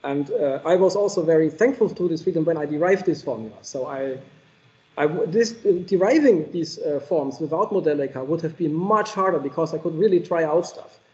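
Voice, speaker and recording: Mellow-voiced man answering technical questions. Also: fairly high pitch, brisk, dull audio. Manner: thoughtful, hesitant, many fillers. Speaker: keynote speaker answering questions.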